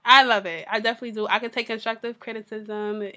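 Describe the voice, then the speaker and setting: Woman cohosting a chatty podcast, voice kinda raspy from a sore throat, frank and laid back, bright edge. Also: a little high, fairly even intonation, quick pace. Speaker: podcast co-host. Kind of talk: casual chat.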